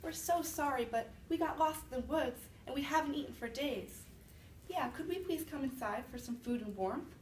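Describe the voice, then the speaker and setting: A woman voicing sly young children from a fairy tale, with a raised, childlike pitch. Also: expressive, normal speed. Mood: pleading. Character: two sly young children, mock-pleading and taunting. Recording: roomy, distant mic with faint noise.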